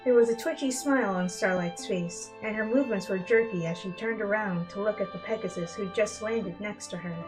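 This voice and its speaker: Female storybook narrator, mid-pitched, dramatic reading style, soft instrumental music underneath. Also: brisk, hardly any pauses, rough recording. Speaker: fan fiction reader. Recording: music bed behind the voice.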